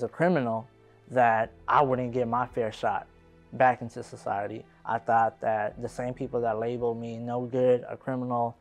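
A man in his twenties speaks earnestly in an interview. His voice is gravelly and keeps flat in pitch. Faint music plays behind him. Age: 20s. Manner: earnest, reflective.

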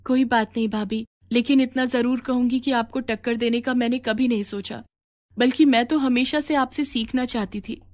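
A woman's voice: fairly high pitch, steady tone, moderate pace, voice pushed up, natural voice. A woman voicing a shy, humble young henna artist in a cartoon story. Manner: soft, humble.

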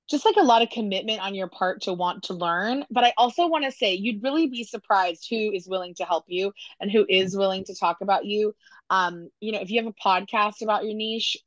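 A woman's voice: medium pitch, dry recording. A woman around forty giving conversational panel advice in an emphatic, encouraging way over a video call. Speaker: panelist, small business owner. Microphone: video call microphone. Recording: dull, compressed video-call audio.

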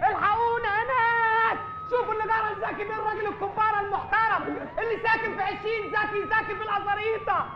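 A meddling Egyptian doorman with a high, quick, wheedling voice that can flip into loud mockery or cold threats. He has an Egyptian Arabic accent. The film audio is slightly dull, with a faint hiss. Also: lively intonation, a crowd in the background. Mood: mocking. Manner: sly, teasing, then menacing and shouting. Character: nosy fast-talking male doorman turned gruff cop. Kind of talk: comedy film acting.

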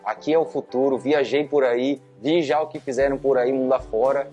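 A man with a mid-range voice and a Manaus accent talks casually and with plenty of slang. He speaks through a cloth mask into a lapel mic indoors, with soft music underneath. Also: medium pitch, steady tone, fairly quick. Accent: Manaus Brazilian Portuguese. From Manaus, Brazil. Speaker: interviewee, graffiti artist. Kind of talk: casual slangy interview talk. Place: indoor room, masked.

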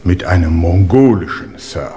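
Stuffy gossipy servant, low voice, very formal and obsequious, 50s radio play. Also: male voice, lively intonation, unhurried, warm tone, slight background noise. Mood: pompous, confiding. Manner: formal, pompous, deferential. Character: dignified, gossipy, obsequious English manservant. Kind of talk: 1950s German radio play acting.

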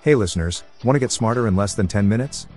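A man reading scripted podcast promos, slowing down and spelling out a phone number carefully. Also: lowish voice, lively intonation, darkish tone, soft background music. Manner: clear, deliberate read, slowing right down for phone numbers.

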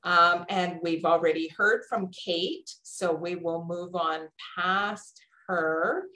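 A woman hosting an informal online event in a halting, polite manner. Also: fairly low pitch, sharp tone. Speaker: host. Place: indoor room on a video call. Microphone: video call microphone. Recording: roomy, echoing video call.

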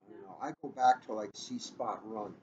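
A man's voice: lowish voice, fairly quick, darkish tone. An older man chatting casually in short, plain-spoken remarks, recorded on a webcam. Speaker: police officer co-hosting. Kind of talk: casual chat. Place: indoors in a catering cafe. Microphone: webcam a short distance away. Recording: muffled, noisy webcam room recording.

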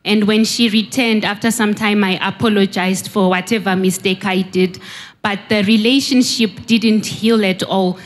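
A woman's voice: mid-range voice, steady tone, moderate pace. Earnest woman testifying in church. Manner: earnest, confessional. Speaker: congregant. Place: large church hall. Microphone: handheld mic.

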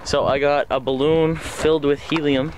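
A man's voice: fairly high pitch, flat delivery, normal speed. A young man, a vlogger chatting casually. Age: young adult. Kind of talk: casual chat. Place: outdoors behind a mall, later in a car. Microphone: handheld camera near arm's length. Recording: very noisy outdoor and car audio.

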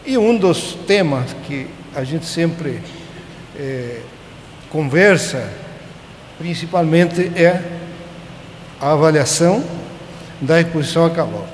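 Older guy w chilean accent giving a halting talk at a podium, big echoey hall, noisy background. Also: fairly high pitch, lively intonation. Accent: Chilean Spanish. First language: Spanish. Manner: halting, checks in with tag questions. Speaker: conference speaker. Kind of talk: informal technical lecture. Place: large conference auditorium. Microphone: podium gooseneck microphone. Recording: echoey auditorium with clear background noise.

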